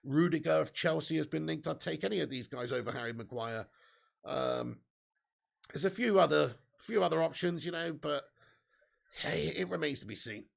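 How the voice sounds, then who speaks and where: A man with a hoarse, fading voice, giving sweary, excitable live fan commentary while reading chat. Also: fairly high pitch, normal speed. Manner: swearing, excitable, shouts at chances. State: losing his voice. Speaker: fan watch-along streamer.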